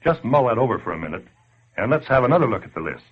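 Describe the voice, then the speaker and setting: A man's voice: medium pitch, very animated, rapid-fire, breathy edge. An actor playing a tough, slangy man who scolds listeners bluntly in 1940s radio style. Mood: sardonic, scolding. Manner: blunt, sardonic, colloquial. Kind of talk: 1940s radio acting, direct address to listener. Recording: dull lo-fi 1940s radio recording.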